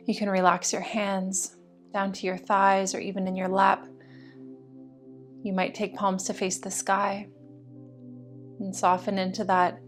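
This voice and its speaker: A woman yoga teacher guiding a meditation practice in a soothing, slow and deliberate way. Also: medium pitch. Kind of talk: guided meditation instruction. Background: music.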